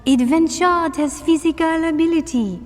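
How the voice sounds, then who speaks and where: A woman's voice: extremely high pitch, normal speed, in a large hall, faint music behind. An actress voicing a cheerful young French woman in a game, with a French accent and earnest, slightly broken English. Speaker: game character.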